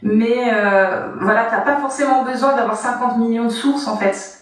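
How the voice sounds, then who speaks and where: A woman's voice: fairly high pitch, normal speed. A woman talking casually in a live online debate, using many fillers. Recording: distant, echoey sound.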